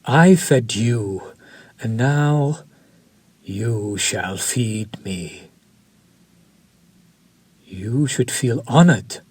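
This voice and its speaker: A suave, predatory vampire chef, voiced by a man, unhurried and formal. His slightly husky voice sits close and dry against the mic. He is courtly on the surface and hungry underneath. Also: mid-range voice, expressive, a little mellow, no room sound, heavily acted. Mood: smug. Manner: slow, formal, seductive and menacing. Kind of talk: audio roleplay for a listener.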